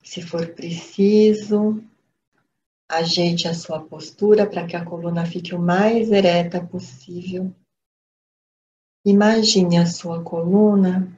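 Brazilian female meditation guide, gentle and unhurried, slightly low voice, dull lo-fi sound. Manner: calm, gentle, measured instruction. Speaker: meditation teacher. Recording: dull lo-fi audio, background noise.

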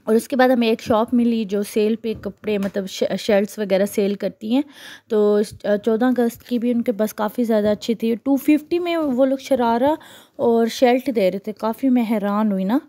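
A young woman, talking in a loose, chatty way full of little fillers as she narrates a trip through the market. Her voice sits a touch high and sounds dry and close, with no room echo. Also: normal speed, warm tone. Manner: chatty, conversational, with frequent fillers. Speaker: vlogger. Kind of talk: casual vlog narration.

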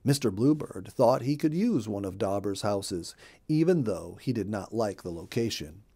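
A middle-aged man narrating a children's story in an expressive storybook manner. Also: fairly low pitch, moderate pace, husky. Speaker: storyteller, audiobook reader. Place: indoor room.

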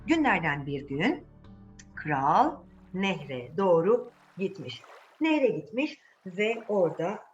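A woman's voice: on the low side, sing-song, slow pace, muffled recording, soft background music. A woman telling a fairy tale to children, speaking to them directly and repeating words for emphasis. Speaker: children's storyteller, channel host.

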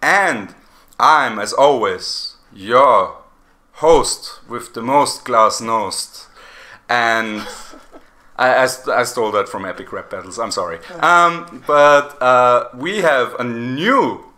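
Male podcast host, bright tense tone, jokey and giggly, stumbles over words. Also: mid-range voice, slow. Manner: joking, giggly, hesitant restarts. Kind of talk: casual film review chat.

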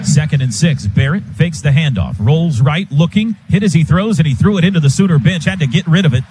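A man's voice: fairly high pitch, brisk. An American man calling live football play-by-play on the radio in clipped phrases that rise with the action. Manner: clipped phrases, excited calls rising with the action. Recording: loud stadium noise behind radio broadcast. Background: stadium ambience.

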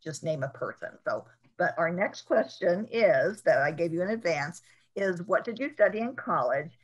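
An older woman moderating a panel over a video call from home, asking questions with halting repeats. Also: on the low side, animated, breathy edge, dull audio. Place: home room.

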